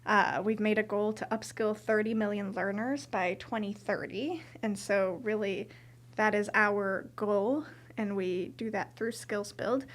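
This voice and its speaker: A woman from Colombia, a manager explaining her work in an organized, clear way during an interview. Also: mid-range voice, unhurried. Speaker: corporate social responsibility manager. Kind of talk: interview answers.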